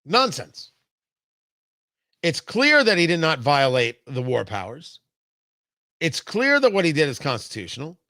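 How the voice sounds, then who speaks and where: A man's voice: on the high side, wildly swooping pitch, unhurried, pauses often, pushed and bright, no echo, studio quality. An American man hosting a political talk show, delivering an opinionated monologue emphatically and repeating phrases for effect.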